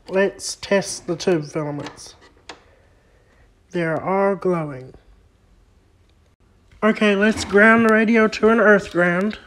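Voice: medium pitch, a bit bright, a little hiss or hum. A hobbyist host giving a hands-on repair demonstration, explaining each step in a plain way. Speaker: vintage radio repair hobbyist and video host.

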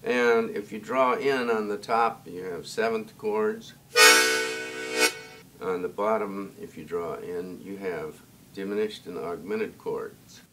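A man's voice: sits low, slow pace, far from the mic, loud music behind the voice, low-quality recording. An older man demonstrating a chord harmonica, explaining it in a dry, matter-of-fact way. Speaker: harmonica player. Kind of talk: instrument demonstration. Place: convention room with dark curtains.

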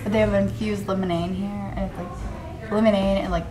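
A woman's voice: medium pitch, steady tone, moderate pace. A young American woman chatting casually and informally in a rambling vlog style, recorded on a camera microphone. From United States, Indiana. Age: young adult. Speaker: vlogger. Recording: roomy echo, noisy handheld camera audio.

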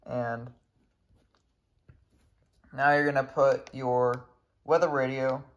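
A man's voice: medium pitch, level tone, slow pace, highs cut off, noisy background. A man giving a casual hands-on tutorial as a video creator, recorded indoors at home on a handheld camera. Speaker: video creator demonstrating radios.

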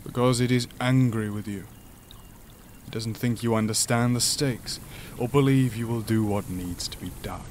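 A man plays a sentient monster from a sci-fi horror podcast. The creature is low-voiced and solemn, choosing formal words with measured care. There is some faint noise behind it. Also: steady tone, a little mellow, close to an everyday voice. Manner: formal, measured, stilted speech. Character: sentient imprisoned alien monster, gentle, solemn, formal, philosophical. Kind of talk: audio drama acting.

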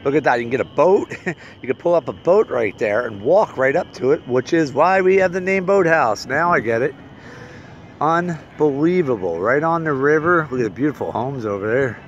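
A man's voice: mid-range voice, animated, moderate pace, highs cut off. A man in his forties giving a casual, unscripted walk-around vlog tour, rambling and thinking aloud into a handheld phone. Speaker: vlogger reviewing local bars. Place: outdoors in parking lot and around bar. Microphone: handheld phone at arm's length. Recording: noisy handheld phone recording outdoors.

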